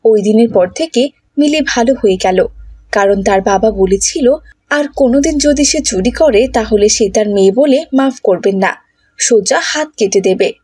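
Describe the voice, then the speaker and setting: A woman's voice: on the high side, lively intonation, moderate pace, warm tone, husky, dry recording. A woman narrating a scripted children's cartoon story.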